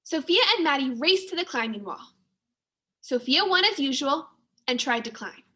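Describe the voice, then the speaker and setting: A woman teacher reading a children's storybook aloud to young pupils in an expressive manner. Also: sits high, moderate pace. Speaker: second-grade teacher.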